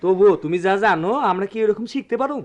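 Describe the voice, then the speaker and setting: An actor playing a respectful, eager young goldsmith's apprentice with a Dhaka-area Bangladeshi accent, in a radio drama. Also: male voice, high voice, animated, fast talker. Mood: eager, admiring. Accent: Bangladeshi, Dhaka-area dialect.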